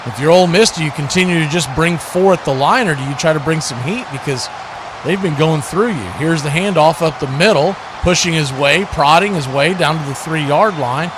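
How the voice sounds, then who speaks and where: A man's voice: a little high, normal speed, background noise. A man doing live play-by-play and casual analysis of a football game in a conversational way, sometimes correcting himself. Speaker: play-by-play commentator hosting a watch party stream.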